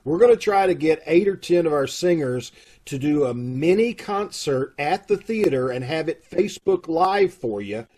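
A middle-aged man with a husky voice, making folksy, casual announcements to an online audience over a video call. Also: a little high, animated, normal speed, a little mellow. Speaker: troupe host making announcements. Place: room at home, on video call. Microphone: video call microphone.

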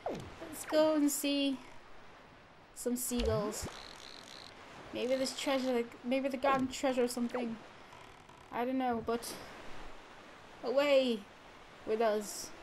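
A woman's voice: high-pitched, steady tone, takes it slowly, long pauses. A young woman with a stuffy nose from a cold, giving rambling casual commentary over a video game, full of fillers and restarts. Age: young adult. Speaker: let's play gamer. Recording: audible background noise.

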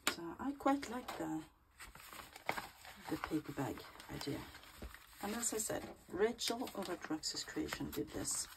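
A woman's voice: lowish voice, animated, measured pace, vocal fry, noisy background. A woman vlogger with a stuffy cold, chatting casually through her crafting in a small home craft room and often murmuring half-whispered asides as she works.

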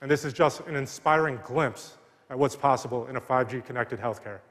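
A man in his thirties, a surgeon and founder, giving a measured, scripted keynote speech on a large arena stage with a headset mic. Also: medium pitch, a bit bright, slight background noise. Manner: measured, earnest delivery. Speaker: surgeon and medical tech founder. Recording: long hall reverb from arena.

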